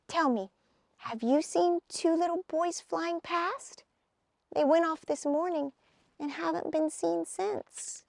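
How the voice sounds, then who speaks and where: A woman's voice: extremely high pitch, slow pace, gravelly, no room sound, pitched above the performer's own voice, heavily acted. A woman voicing a timid, polite little hazelnut girl in a storybook, speaking nervously.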